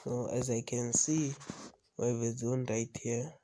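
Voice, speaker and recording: A man's voice: mid-range voice, fairly even intonation, measured pace, noisy background. A man with a cold hosting a casual trading tutorial over a screen recording, often repeating himself and asking "you understand?". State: has a cold. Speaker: trading video host.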